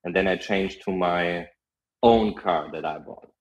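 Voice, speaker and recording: A young man with a German accent, a podcast guest telling lively stories in casual chat, full of fillers. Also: low-pitched, a little slow. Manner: animated storytelling, frequent fillers and laughs.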